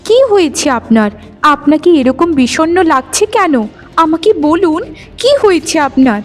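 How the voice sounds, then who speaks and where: A woman voices a young maiden of the forest in a cartoon fairy tale. The voice is high, tender and earnest, like someone gentle and in love. Faint music plays behind. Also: very animated, normal speed. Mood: tender, worried.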